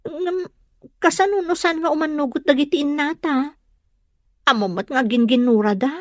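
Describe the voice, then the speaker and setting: A woman's voice: high-pitched, normal speed, dry recording, studio quality, close to an everyday voice. A woman playing an earnest, stubborn young village woman, hurt by gossip, in an audio drama. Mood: hesitant, tender. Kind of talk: Ilocano audio drama acting.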